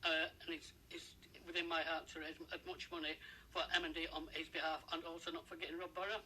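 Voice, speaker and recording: A man's voice: fairly high pitch, flat delivery, fast, bright, forceful tone, rough recording. A man answering informally as a radio interview guest, plain-spoken and earnest, with hesitant phrasing. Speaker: interview guest, charity fundraiser. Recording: radio interview with faint background noise.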